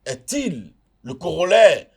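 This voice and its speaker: Man, slightly raised pitch, rhetorical debate guest who drives home points by repetition. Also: sing-song, takes it slowly, vocal fry, a little mellow, slightly rough. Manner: rhetorical, repeats phrases for emphasis. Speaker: media consultant guest. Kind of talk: heated TV debate.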